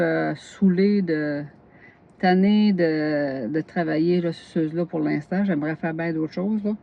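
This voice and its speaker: A woman's voice: on the low side, dull audio. Tired, laughing Québécois woman. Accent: Québécois French. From northern Gaspésie, Quebec. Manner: chatty, laughs often, jumps between topics. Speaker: knitting vlogger. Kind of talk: casual chat.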